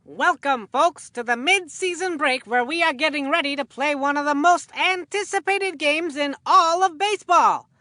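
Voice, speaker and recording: A woman voicing an excitable, food-loving boy baseball commentator in a cartoon game, with a folksy, earnest showman delivery. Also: sits high, moderate pace, hardly any pauses, dry recording, clean studio sound. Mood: excited announcing.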